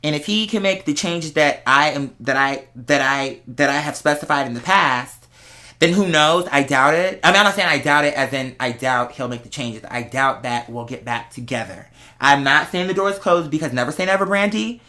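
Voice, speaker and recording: Casting: young American man, bright and sharp tone, sassy, emphatic, slang-heavy casual vlogger delivery. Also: on the high side, moderate pace. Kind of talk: casual chatty confessional monologue.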